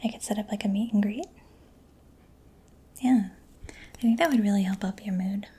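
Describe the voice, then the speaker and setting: A woman doing a soft-spoken ASMR mechanic roleplay, breathy and mostly whispered, gently murmuring as if giving personal attention, close to the microphone. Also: mid-range voice, moderate pace. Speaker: ASMR performer. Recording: close-miked with clear background noise.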